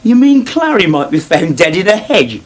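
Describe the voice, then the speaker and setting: An actor playing a teasing, wily farm lad in a radio soap. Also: male voice, sits high, big pitch swings, moderate pace. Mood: surprised, curious. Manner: teasing, sly, needling. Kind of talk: British radio soap acting.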